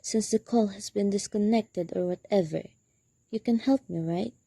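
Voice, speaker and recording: Young woman doing worried needy sick wife home alone, radio drama, really dark muted voice, dry recording. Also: medium pitch, normal speed, vocal fry, slightly rough, no echo. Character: anxious, demanding invalid wife, alone at night. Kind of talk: student radio drama acting.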